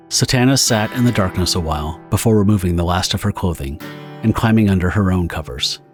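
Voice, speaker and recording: A man narrating a dramatic story from a script. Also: deep voice.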